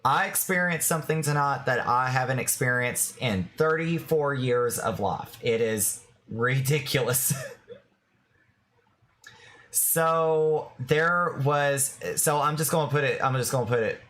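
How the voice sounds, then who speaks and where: A man in his thirties chatting on a live stream, rambling and laughing as he tells a story. He speaks slowly, and his voice sounds bright and sharp. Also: mid-range voice. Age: 30s. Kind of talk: casual live-stream chat.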